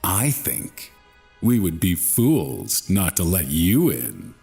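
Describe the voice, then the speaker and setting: Courteous vampire club gentleman male narrator acting, low, measured, formal, horror story. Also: sing-song, slightly rough, faint music behind, exaggerated voice. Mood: genial, measured. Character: courteous bearded vampire club man, large and genial.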